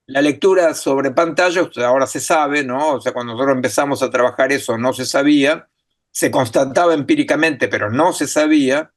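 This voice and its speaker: A man's voice: medium pitch, a little fast. A man giving an academic talk in a language that is not his first, thinking aloud with many fillers and tag questions. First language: not Spanish. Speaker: lecturer.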